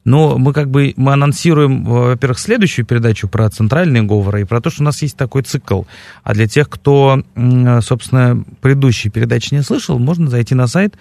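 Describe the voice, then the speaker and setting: A male radio host talks through his show with plenty of hesitant ums. His voice has a gravelly, fry-tinged texture. It sounds dry and close, as if recorded on a studio mic. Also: mid-range voice, fairly quick, a little mellow, dry recording. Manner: hesitant, many filler sounds. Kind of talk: educational radio talk show. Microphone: studio microphone.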